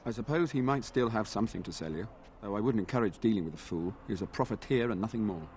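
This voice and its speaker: An actor playing an earnest, dutiful knight in a fantasy video game, speaking formally and courteously. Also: male voice, fairly low pitch, expressive, fast talker, darkish tone, a little hiss or hum, natural voice. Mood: disdainful. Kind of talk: video game dialogue acting.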